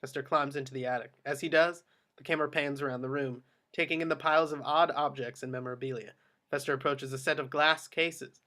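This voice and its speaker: A man's voice: mid-range voice, fairly even intonation, fairly quick, pushed and bright. A man narrating the action of a film script at a table read. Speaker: stage-direction reader.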